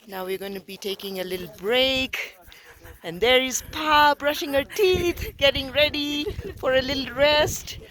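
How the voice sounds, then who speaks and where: A hoarse woman narrating her mountain climb in a slow, breathless, casual way, filming outdoors on a handheld camera. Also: high-pitched, a bit bright. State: hoarse, lost voice. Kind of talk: casual travel vlog narration.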